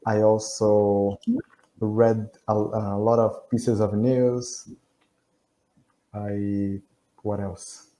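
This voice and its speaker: Brazilian-accented man, low dark voice, careful halting english, video call. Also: little pitch movement, rough recording. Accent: Brazilian Portuguese. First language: Portuguese. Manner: careful, halting, with frequent restarts and soft laughs. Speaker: language student. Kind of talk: casual video call chat.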